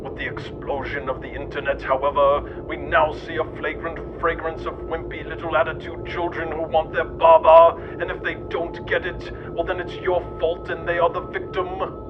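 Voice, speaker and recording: A man's voice: a little high, level tone, moderate pace. Sardonic man narrating over music, lo-fi sound. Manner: sardonic, mocking. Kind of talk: scripted satirical narration. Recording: dull lo-fi sound, music behind. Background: clear background music.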